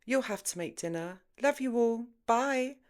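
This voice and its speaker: A woman voicing a headstrong, breezy married woman with a brisk, emphatic manner in an audiobook. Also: fairly high pitch, expressive, voice pushed up. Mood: breezy, hurried.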